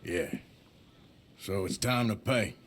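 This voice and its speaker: An actor playing a gruff, impatient American outlaw debt collector in a western video game, menacing and sardonic. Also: male voice, fairly low pitch, slow. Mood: threatening. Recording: loud music behind the dialogue.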